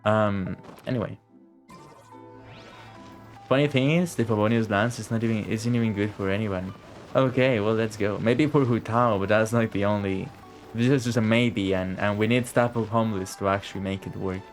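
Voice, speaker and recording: A man's voice: lowish voice, moderate pace, faint music behind. A man streaming a game with casual, rambling commentary, repeating words and swearing now and then. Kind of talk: casual live gameplay commentary.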